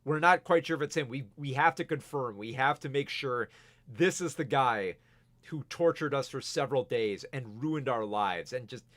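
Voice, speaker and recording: A man's voice: mid-range voice, moderate pace, a bit bright, no room sound. A man hosting a casual film review show, rambling with many restarts while he is losing his voice. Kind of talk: casual video review, reading viewer comments.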